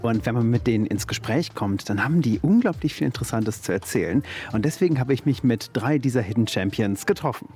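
Reporter mit ruhiger, mittlerer Stimme. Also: male voice, medium pitch, lively intonation, a little fast, darkish tone. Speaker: radio reporter. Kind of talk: field interview.

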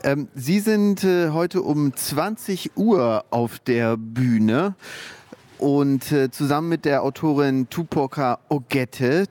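A man's voice: medium pitch, darkish tone. A man hosting a festival radio show, presenting fluently with occasional fillers. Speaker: radio host.

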